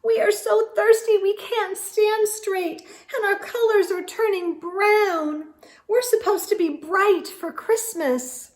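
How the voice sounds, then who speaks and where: A woman voicing thirsty, wilting little storybook flowers in a squeaky, pleading voice. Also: fairly even intonation, slow, low-quality recording.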